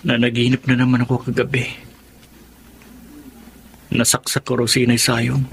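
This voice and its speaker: A man's voice: mid-range voice, completely flat delivery, normal speed, creaky, slight background noise, close to an everyday voice. An actor playing a troubled young man haunted by nightmares, frightened and pleading in a radio drama. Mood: shaken.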